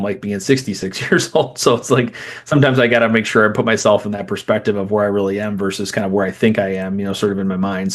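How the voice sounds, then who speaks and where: Fast-talking low-voiced man in his thirties. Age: 30s. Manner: rambling, restarts and digresses. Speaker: guest psychologist. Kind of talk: conversational interview.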